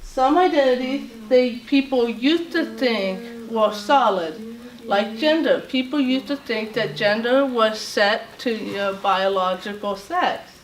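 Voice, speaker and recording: A woman from Latvia giving an informal talk to a group as a self-advocate, speaking haltingly with many fillers and restarts. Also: a little high, slight background noise. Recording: roomy echo, voice far from mic, faint ambience.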